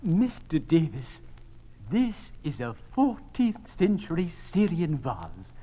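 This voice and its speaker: A man's voice: a little high, huge pitch swings, takes it slowly, mellow, highs cut off, slight background noise, heavily acted. An actor playing a timid, genteel, flustered male museum curator in a radio drama, hesitant and stammering. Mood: eager, flustered. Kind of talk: 1950s radio drama acting.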